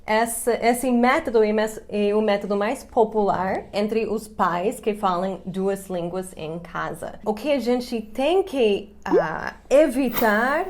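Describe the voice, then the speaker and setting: A woman's voice: medium pitch, slow, few pauses, darkish tone. American woman chatting in Portuguese. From United States, Chicago. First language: English. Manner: chatty, laughs easily, speaks Portuguese with English asides. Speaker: YouTube English teacher and host. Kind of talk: casual chat on camera.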